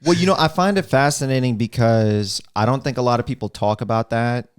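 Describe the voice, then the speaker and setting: A man hosting a podcast, introducing a guest and asking questions in a measured, deliberate way. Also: medium pitch, clear, resonant tone, dry recording, clean studio sound. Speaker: podcast host.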